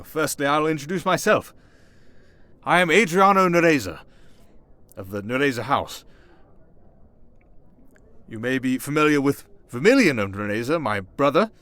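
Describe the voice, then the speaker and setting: A man playing a smooth-talking, sarcastic vampire noble, chatting idly and vainly with a fellow prisoner in an ASMR roleplay. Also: mid-range voice, very animated, moderate pace, pauses often, a bit bright, no room sound. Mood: chatty.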